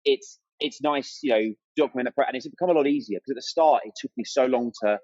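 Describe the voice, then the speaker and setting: A man's voice: mid-range voice, fast talker, darkish tone, no echo, dull audio. A man chatting casually and enthusiastically as a guest on a podcast. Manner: chatty and enthusiastic, acts out remembered exchanges. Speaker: interview guest, amateur runner and content creator.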